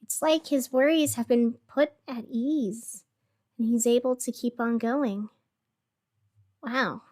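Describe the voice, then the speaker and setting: A woman playing a chatty, earnest radio host who reports a fantasy city's troubles in an expressive, conversational, scripted news style. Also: fairly high pitch, slow. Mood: curious, wistful. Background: music.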